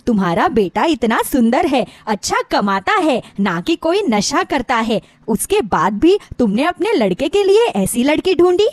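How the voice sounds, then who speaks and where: A woman's voice: sits high, very animated, a little slow, hardly any pauses, dry recording, full character voice. A woman voicing mocking middle-aged neighbour gossips in a story, taunting with false sweetness. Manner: taunting, mock-sweet, exclaiming.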